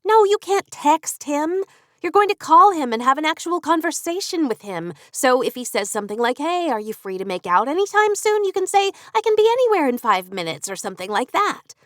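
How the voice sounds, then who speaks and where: A woman's voice: high voice, very animated, brisk, a bit bright, no room sound, studio quality, pitched above the performer's own voice. A woman reading an audiobook, voicing a pushy, teasing young woman who is the narrator's best friend. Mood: bossy. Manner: bossy, blunt, teasing.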